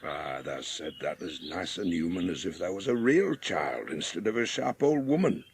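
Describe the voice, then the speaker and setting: Grumpy old yorkshire gardener, crackly voice, lonely and blunt, really up and down, audiobook drama. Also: male voice, deep voice, moderate pace. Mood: wry, gruff. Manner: blunt, rustic dialect, expressive.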